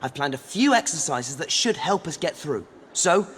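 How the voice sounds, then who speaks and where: An actor playing an earnest, loyal teenage schoolboy hero in a video game. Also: male voice, a little high, big pitch swings, normal speed, fairly bright tone, a little hiss or hum. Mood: confident.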